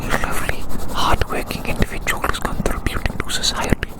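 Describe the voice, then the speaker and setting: An ASMR creator rambling in a close, playful whisper, softly repeating words and phrases to the listener. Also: moderate pace. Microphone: close microphone.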